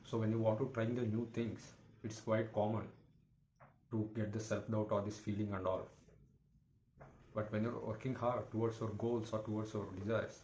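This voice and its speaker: A man giving a motivational talk to camera as a YouTuber, in halting, repetitive, earnest phrasing. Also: fairly low pitch, a little mellow. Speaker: YouTuber, motivational content creator. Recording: echoey room with faint background noise.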